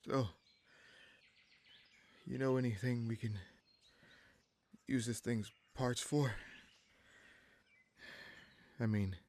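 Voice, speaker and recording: A man playing a kindly but gruff wounded bandit leader in an audio roleplay, speaking to the listener in halting, musing phrases. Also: medium pitch, steady tone, darkish tone. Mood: musing, hopeful.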